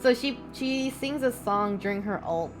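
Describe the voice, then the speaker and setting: A woman's voice: a little high, expressive, moderate pace, music in the background, poor audio. A woman live streamer giving casual game reaction commentary, musing aloud in short reactions.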